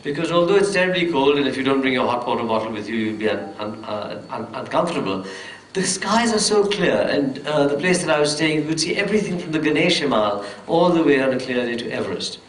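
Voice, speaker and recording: A man on a festival panel telling a travel story at length, pausing often with hesitations and restarts. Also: mid-range voice, lively intonation. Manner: rambling, full of hesitations and restarts. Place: festival hall on stage. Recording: roomy distant hall mic with faint noise.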